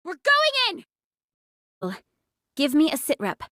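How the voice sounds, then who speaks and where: High-voiced, animated young squad leader. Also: female voice, takes it slowly, no echo, clean studio sound, exaggerated voice. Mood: urgent, commanding. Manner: direct, commanding. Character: young female android squad leader, caring and decisive. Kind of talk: anime-style game voice acting.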